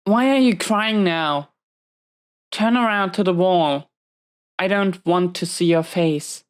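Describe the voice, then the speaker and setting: A woman performs a dominant female inmate in an intimate audio drama. Her voice is deep for a woman and very smooth, with slow delivery. She gives curt commands, guarded and stern, with a hidden tenderness underneath. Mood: hurt, stern. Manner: commanding, clipped orders with hesitant confessions.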